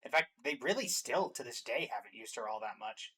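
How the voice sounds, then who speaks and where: Male reaction host, medium pitch, casual, opinionated, emphatic delivery, conversational ranking talk. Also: expressive, brisk, fairly bright tone, husky.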